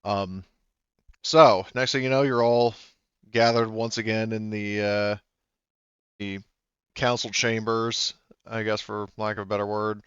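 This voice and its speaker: A man's voice: fairly low pitch, level tone, a little slow, long pauses, fairly bright tone, clean tone, no echo, muffled recording. A man running a tabletop roleplaying game as game master, talking in a rambling way with many fillers and restarts.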